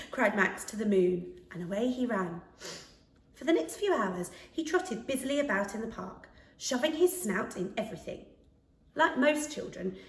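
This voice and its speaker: A woman reading a children's story aloud as an expressive narrator. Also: fairly high pitch. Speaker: storybook reader. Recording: echoey room, distant microphone.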